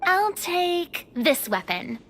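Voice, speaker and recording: A woman's voice: very high voice, big pitch swings, takes it slowly, a bit bright, slight background noise, full character voice. A woman playing an easygoing, thoughtful young adventurer in a video game, in a conversational way. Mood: agreeable.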